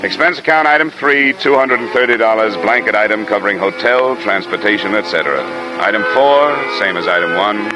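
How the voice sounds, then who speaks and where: An actor playing a brisk, hard-boiled American insurance investigator, speaking tersely and dryly in a 1950s radio drama. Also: male voice, on the low side, lightly acted, poor audio. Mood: dry, narrating. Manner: terse, dry. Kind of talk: 1950s radio acting, noir narration. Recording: vintage radio recording with loud music.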